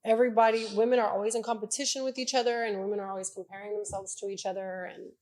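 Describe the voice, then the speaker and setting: A woman's voice: medium pitch, flat delivery, very fast, a little mellow. Woman in her thirties, playful podcast co-host, teasing, finishes her friend's sentences. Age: 30s. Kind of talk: casual chat.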